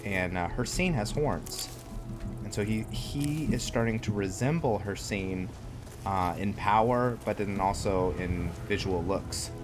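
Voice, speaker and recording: A man with a low voice narrates his roleplay game at a very slow, halting pace. He explains the lore casually, thinking aloud as he goes, with music playing behind him. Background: game music and game characters.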